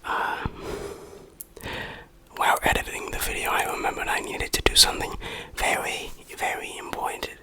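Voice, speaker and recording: An ASMR host reviewing in a breathy, unvoiced whisper, with a playful, dryly self-mocking manner. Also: moderate pace.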